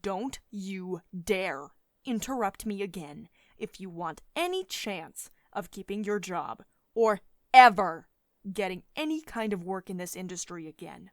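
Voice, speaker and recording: A commanding female CEO, acted by a woman, speaks in a dry, close ASMR roleplay recording. Her pitch moves freely as she reprimands in a condescending, sardonic way. Beneath the strict authority there is a caring edge. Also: sing-song, a little slow, no echo. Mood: cold, commanding. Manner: domineering, sardonic, clipped reprimands with sugary pet names.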